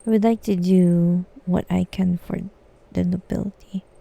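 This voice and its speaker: A woman's voice: lowish voice, steady tone, moderate pace, creaky, dark tone. A woman streaming a game live and reading its dialogue aloud.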